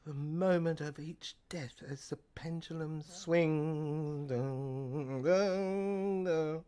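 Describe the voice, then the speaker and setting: Female narrator for an audio serial: unhurried, halting, theatrical delivery with chant-like phrasing. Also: low-pitched, warm tone, smooth.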